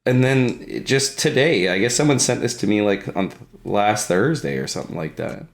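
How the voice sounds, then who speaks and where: A man's voice: lowish voice, expressive, normal speed, creaky. A man chatting casually as a podcast co-host, profane and self-mocking in a crude comedic way.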